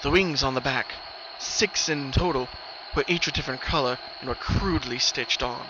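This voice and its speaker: A man with a mid-pitched voice narrates a horror story in a dramatic reading. His delivery is scripted narration. Clear noise runs behind his voice. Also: normal speed, dull audio. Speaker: narrator of horror fan fiction. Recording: noisy recording, rumbling ambient background.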